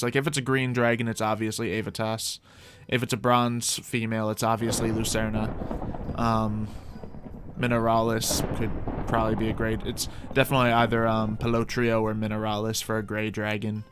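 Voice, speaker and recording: A man giving casual, rambling gameplay commentary as a video host, thinking aloud in a playful way. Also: mid-range voice. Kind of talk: casual rambling Minecraft let's play commentary.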